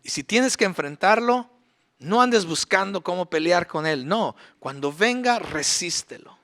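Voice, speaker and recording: Man, pastor, middle-aged, sermon, emphatic, rhetorical questions, slightly high voice. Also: expressive, measured pace, fairly bright tone. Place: church sanctuary.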